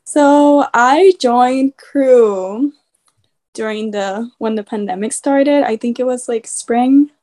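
Earnest woman, higher voice. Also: takes it slowly, mellow. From Puerto Rico. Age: 20s. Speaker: guest. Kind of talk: casual video-call chat.

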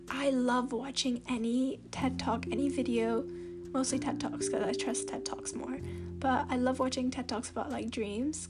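Teen girl, soft babyish voice, way up high, rambly podcast chat, loud guitar music behind her. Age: teens. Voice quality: soft, youthful baby-like voice. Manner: chatty, meandering, heavy use of "like" and restarts. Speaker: podcast host. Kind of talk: casual rambling podcast monologue. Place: at home.

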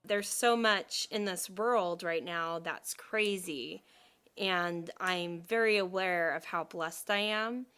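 A woman's voice: fairly low pitch, slow, a bit bright, smooth. A woman co-hosting a podcast, talking in a chatty, thoughtful way and laughing easily. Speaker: podcast co-host. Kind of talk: casual podcast chat.

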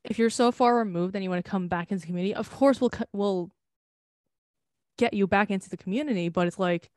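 A young woman co-hosting a casual podcast, talking in long, loose, candid stretches. She swears often and laughs easily. Her pitch sits in the middle for a woman, and the recording is dry and close. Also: quick pace, a little mellow, dry recording. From United States. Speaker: podcast co-host. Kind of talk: casual chat.

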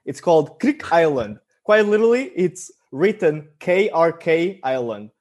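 Young man, co-host, fairly high voice, chatty storyteller, easygoing, video call. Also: sing-song, measured pace, darkish tone. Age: young adult. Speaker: podcast co-host. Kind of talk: casual chat.

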